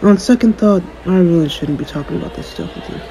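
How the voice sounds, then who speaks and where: Guy doing a cocky young superhero for audio roleplay, really mellow higher voice, sarcastic n dismissive, bit of background noise. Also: fast, creaky, poor audio. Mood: aloof, smug. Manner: dry, sarcastic, aloof. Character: jaded, cocky young male superhero, dismissive of his fan. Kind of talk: audio roleplay acting, one-sided conversation.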